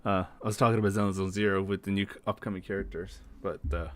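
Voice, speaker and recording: A man's voice: deep voice, fairly even intonation, quick pace, no room sound. A man hosting a casual gaming tier-list commentary, explaining things in a chatty, rambling way. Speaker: host of a tier-list video.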